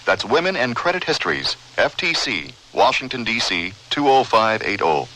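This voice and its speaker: A man's voice: mid-range voice, very animated, normal speed, sharp tone, poor audio. A man reading a scripted public service announcement on the radio.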